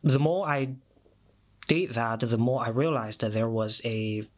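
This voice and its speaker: A man in his thirties from China, Mandarin native, with a Chinese accent, telling personal stories as a podcast guest with many pauses and fillers. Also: medium pitch. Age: 30s. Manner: thoughtful, many "uh" fillers and restarts. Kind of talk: personal storytelling.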